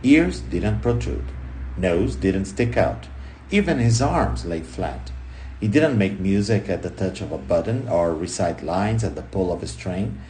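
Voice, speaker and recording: Guy reading an audiobook, lowish voice that jumps around a lot, dramatic pauses, noticeable noise in the background. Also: lively intonation, dark tone, rough recording. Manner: punchy short phrases with dramatic pauses and emphasis. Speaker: audiobook reader. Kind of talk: expressive, dramatized book narration.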